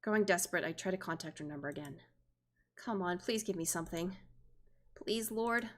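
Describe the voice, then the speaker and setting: A woman's voice: fairly low pitch, brisk, fairly bright tone. A woman streamer reading a visual novel aloud, expressively and theatrically, with joking asides. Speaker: streamer, virtual YouTuber.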